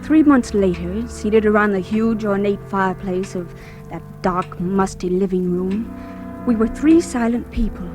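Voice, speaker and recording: An actress playing an anxious young heiress in a halting, dramatic old radio-drama style. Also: female voice, mid-range voice, music in the background, close to an everyday voice. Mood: brooding narration. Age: young adult. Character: high-strung, anxious young heiress, aged twenty-two. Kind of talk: vintage radio drama acting and first-person narration. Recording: noisy, crackly old radio recording.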